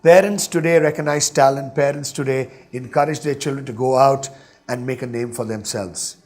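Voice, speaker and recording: A middle-aged man with an Indian English accent speaks from a stage, his pitch sitting in an ordinary middle register. He talks emphatically as a radio DJ giving a motivational address. He drives his points home by repeating phrases in rhythmic lists. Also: moderate pace, darkish tone. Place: stage in a hall. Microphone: stage microphone.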